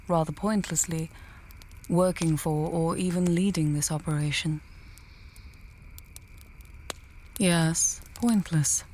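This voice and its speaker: A woman playing a wry, confident mage and healer in a fantasy audio tale, speaking in a measured, articulate, dryly teasing way. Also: on the low side, a little mellow, slight background noise, close to an everyday voice. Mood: calm, explanatory. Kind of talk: fantasy audio roleplay, addressing the listener.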